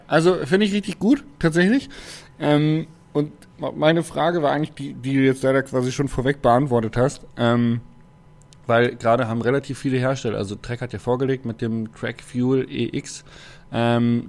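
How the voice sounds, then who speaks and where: A man's voice: mid-range voice, fairly even intonation, moderate pace. Man, podcast co-host, easygoing, hesitant fillers, casual chat. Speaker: podcast co-host, sponsored cyclist.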